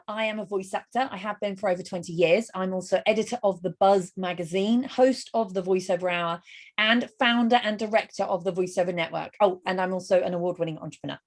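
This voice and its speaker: A middle-aged woman hosting a live webinar over a video call, enthusiastic and gushing as she rattles off quick lists. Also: mid-range voice. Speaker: webinar host.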